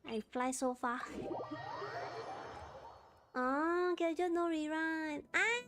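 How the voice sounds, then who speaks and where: A woman's voice: high voice, slow pace, noisy background. A Malaysian woman with a Malaysian accent, chatting casually in short clipped phrases while she plays a game on a livestream.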